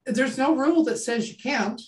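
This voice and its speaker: A woman's voice: high-pitched, animated, normal speed. A woman, leading an informal workshop over a video call. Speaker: workshop leader. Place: small home room, indoors. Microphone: video call computer mic.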